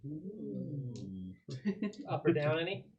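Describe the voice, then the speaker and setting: A man's voice: a little high, very animated, very slow, darkish tone, dull audio, slight background noise. A man playing a tabletop roleplaying game, talking casually and asking questions as a player.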